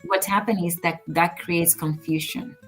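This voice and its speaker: A woman co-hosting a podcast, talking in a measured, earnest, advice-giving way in casual conversation. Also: lowish voice, fairly even intonation, fairly bright tone, faint music behind. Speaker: podcast co-host.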